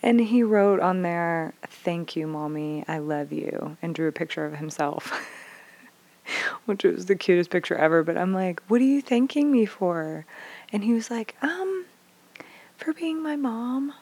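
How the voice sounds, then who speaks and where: A woman in her thirties candidly telling parenting stories, swearing freely and acting out dialogue, dropping to whispers and then bursting out loud. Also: lowish voice, lively intonation, unhurried. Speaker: mother sharing parenting stories. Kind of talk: candid casual storytelling.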